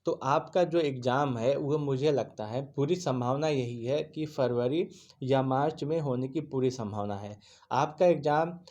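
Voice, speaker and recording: A man's voice: mid-range voice, mellow. A man teaching exam aspirants in a casual spoken lesson, earnest and repetitive, often restarting words mid-sentence. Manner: earnest, repeats himself, restarts mid-word.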